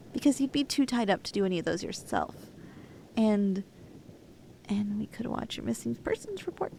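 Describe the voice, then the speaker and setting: A lovestruck young woman, acted out by a female ASMR performer, speaks intimately in a fairly high voice with a heavy creak. She is clingy and playful, with hesitant turns and a teasingly unhinged devotion to the one she adores. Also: a little mellow, slight background noise, exaggerated voice, low-quality recording. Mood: teasing, possessive. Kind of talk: ASMR roleplay, intimate acting.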